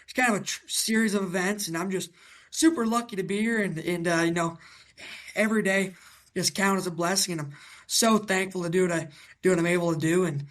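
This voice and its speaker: A man in his twenties from Iowa with a raspy voice, answering interview questions in a halting, casual way with many fillers. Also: sits high, fairly bright tone. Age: 20s. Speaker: guest, young cattle breeder.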